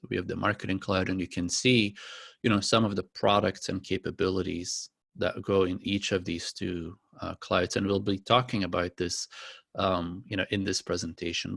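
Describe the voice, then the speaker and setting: A middle-aged man, a native Dutch speaker, giving a corporate presentation to partners in a relaxed way with many fillers. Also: sits low, vocal fry, dry recording, studio quality. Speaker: company founder presenting to partners.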